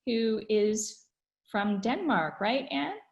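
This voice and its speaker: Upbeat webinar host in an echoey room. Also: female voice, fairly high pitch, lively intonation, slow pace, gravelly, highs cut off. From Canada. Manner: upbeat, with frequent fillers. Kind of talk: online webinar presentation. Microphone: video call.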